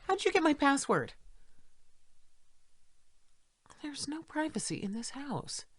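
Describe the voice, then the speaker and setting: A woman's voice: high voice, big pitch swings, normal speed. An actress in an audio play playing a middle-aged single mother, a struggling writer who is fretful and self-deprecating. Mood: indignant.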